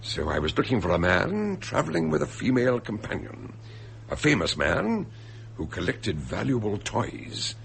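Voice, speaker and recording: Deep, swooping, gravelly detective. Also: male voice, wildly swooping pitch, slight background noise, exaggerated voice. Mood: accusing. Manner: deliberate, theatrical, emphatic phrasing. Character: eccentric, shrewd male detective calmly expounding a murder. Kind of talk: radio drama acting.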